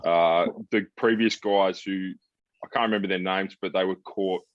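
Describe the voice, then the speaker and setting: A man with a low voice chats casually about sport as a podcast host. His manner is blunt and laid-back. The sound is dry and close but slightly dull and lo-fi. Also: steady tone, moderate pace, no room sound.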